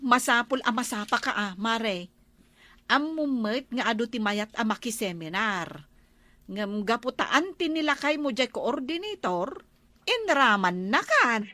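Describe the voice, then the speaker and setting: A woman acting as a friendly village neighbour in a comedy drama, with an Ilocano accent. Her high voice moves up and down freely as she chats and advises. The sound is dry and close. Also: very animated, unhurried, pushed and bright, no room sound, exaggerated voice. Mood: chatty.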